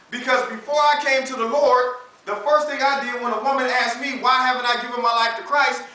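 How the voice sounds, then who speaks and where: High-voiced American preacher in an echoey room. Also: male voice, sharp tone. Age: 30s. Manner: emphatic, colloquial, uses rhetorical questions and acted-out dialogue. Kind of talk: animated, conversational sermon. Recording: echoey room, distant mic, faint noise.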